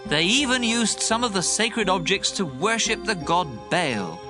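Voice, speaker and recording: A young king of Judah in an audio drama, high-pitched and animated. He is commanding and impatient, declaiming his demands urgently over prominent music. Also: unhurried. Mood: indignant, demanding. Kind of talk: audio Bible drama acting.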